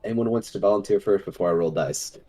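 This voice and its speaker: A man with a very deep, husky voice runs a tabletop game as game master. He talks in a laid-back, joking way over a voice call mic. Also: quick pace, dark tone. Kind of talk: casual tabletop game session.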